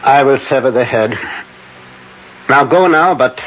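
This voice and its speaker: An actor playing an older, stern professor and vampire hunter in a radio drama, grave and insistent. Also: male voice, mid-range voice, a little slow, lightly acted. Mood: firm, solemn. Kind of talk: 1970s radio drama acting. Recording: dull, noisy vintage radio broadcast.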